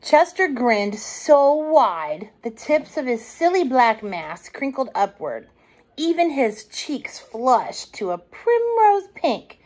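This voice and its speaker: Slow, expressive woman storyteller. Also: high-pitched, low-quality recording. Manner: gentle, expressive, addressing young children. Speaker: children's storytime reader. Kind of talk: expressive picture-book narration.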